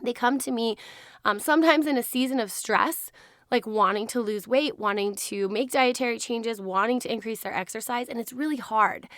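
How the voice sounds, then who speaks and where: A hoarse woman hosting her podcast in a casual, chatty way, laughing often. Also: fairly high pitch, moderate pace, a bit bright, dry recording, studio quality. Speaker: podcast host. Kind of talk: casual chat.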